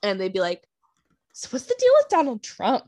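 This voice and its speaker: A woman's voice: medium pitch, normal speed. A young adult woman from the United States co-hosting a podcast, chatting casually with lots of "like" and "um". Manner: relaxed, fills with "like" and "um". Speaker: podcast co-host. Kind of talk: casual chat.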